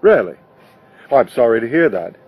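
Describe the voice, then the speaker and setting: A man voicing an affable, wisecracking musician in a storybook, breezy and folksy, with a husky voice. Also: mid-range voice, sing-song, normal speed, lo-fi sound, slight background noise, pitched below the performer's own voice, lightly acted. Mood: sympathetic. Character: affable, wisecracking male banjo entertainer, eager to help.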